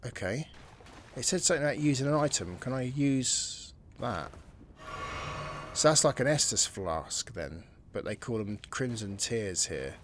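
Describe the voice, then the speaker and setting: A man giving casual, excitable commentary while playing a video game and thinking aloud. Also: medium pitch, measured pace, a little hiss or hum. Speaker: gameplay streamer. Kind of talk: casual let's-play commentary.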